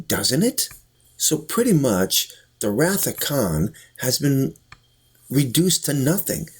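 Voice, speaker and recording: Husky, animated male commentator. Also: mid-range voice. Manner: emphatic, rhetorical questioning. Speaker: video commentator and sci-fi fan reviewer. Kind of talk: opinion commentary to viewers.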